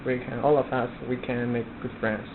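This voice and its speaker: A man in his twenties with a Chinese accent, a student introducing himself informally, halting and repeating words. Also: mid-range voice, level tone. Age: 20s. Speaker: language student. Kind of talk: informal classroom self-introduction. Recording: muffled phone-like audio, clearly noisy.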